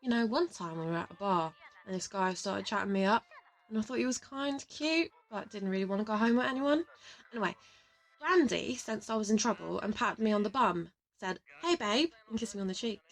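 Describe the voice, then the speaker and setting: A woman's voice: mid-range voice, animated, a little fast, close to an everyday voice. A woman playing a brash, hard-drinking young agent in an audio roleplay, teasing and slangy. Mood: fond reminiscing.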